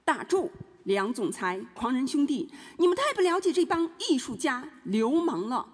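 High, swooping, scornful company boss played by a woman. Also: very animated, moderate pace, husky, full character voice. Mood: aggrieved, scornful. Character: aggrieved, scornful mining company boss in mock trial. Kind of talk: scripted audio drama. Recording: long hall reverb.

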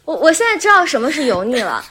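Animated, higher-voiced woman chatting. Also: fast, raised voice, a little hiss or hum. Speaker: podcast guest, voice actor. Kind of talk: casual podcast chat.

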